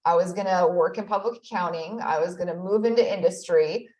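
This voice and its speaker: A woman's voice: on the low side, brisk, darkish tone. Reflective woman in an echoey room. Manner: earnest, reflective storytelling with frequent "you know". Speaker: guest, accountability expert. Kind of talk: conversational interview.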